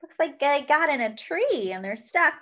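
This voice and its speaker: A woman's voice: high voice, very animated, normal speed, bright, forceful tone, muffled recording. A young woman reading a picture book aloud to children, cheerful and sing-song, counting along, recorded on a webcam in a small room at home. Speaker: children's story time reader.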